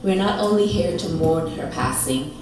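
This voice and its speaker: A woman giving a tender, measured eulogy for her grandmother at a funeral. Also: low voice. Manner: slow, tender, measured delivery with soft fond asides. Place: funeral hall. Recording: echoey hall from far off, faint noise.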